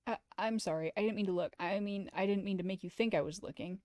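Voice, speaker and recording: A woman's voice: mid-range voice, fast, a little mellow, no room sound, clean studio sound, natural voice. A woman voicing a shy, awkward boy who is hesitant and earnest, in a visual novel. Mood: flustered. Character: awkward high school boy, shy anime fan, apologetic. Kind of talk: visual novel dialogue read aloud.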